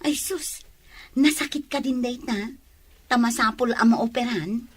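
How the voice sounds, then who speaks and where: Actress as a chatty ilocano lady friend, radio drama, really high but husky voice, exclamatory. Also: measured pace. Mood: surprised. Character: chatty, sympathetic woman friend visiting from the village.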